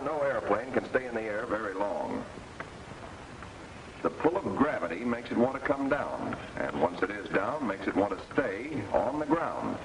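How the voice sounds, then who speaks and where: An American man narrating a scripted 1940s instructional film in a clear, didactic manner with measured emphasis, like an instructor. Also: mid-range voice. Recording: noisy 1940s film soundtrack, distant.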